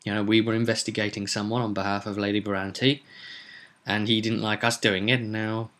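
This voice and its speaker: A man speaking as offhand, forceful fantasy adventurers. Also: lowish voice, level tone, fairly quick, close to an everyday voice. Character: casual band of adventurers explaining their trouble.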